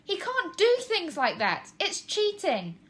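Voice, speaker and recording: A woman acts out an excitable young girl from a children's storybook. Her pitch sits very high and she exclaims everything emphatically, impatient and quick to take offence. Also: heavily acted. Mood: outraged.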